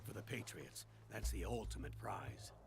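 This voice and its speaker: Solemn strategist, lowish gravelly voice, measured exposition, game cutscene, loud music. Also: male voice, lively intonation. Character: earnest, grave male strategist briefing a soldier. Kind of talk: dramatic video game cutscene acting.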